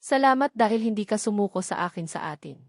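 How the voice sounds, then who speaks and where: A woman's voice: mid-range voice, lively intonation, fast talker, dry recording, studio quality. Affectionate young Filipino princess read by a woman. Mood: grateful, tender. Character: loving young wife and princess, grateful, playful.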